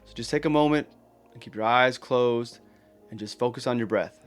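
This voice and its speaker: A man leading a guided meditation, giving gentle, plain-spoken, encouraging instruction with unhurried pauses. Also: medium pitch, soft background music.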